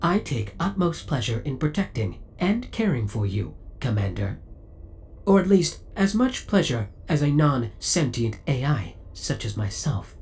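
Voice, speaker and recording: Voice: medium pitch, sing-song, unhurried, darkish tone. An actor voicing a possessive, devoted spaceship AI in an audio roleplay, speaking in a formal, precise, clinical and politely menacing way. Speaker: voice actor playing a ship's AI. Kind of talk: scripted ASMR audio roleplay acting.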